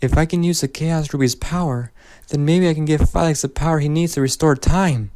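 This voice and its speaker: A man's voice: fairly high pitch, brisk, a little mellow, dry recording, close to an everyday voice. An actor playing an earnest, determined young male hero with a dramatic, resolute delivery in a video game cutscene. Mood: somber resolve.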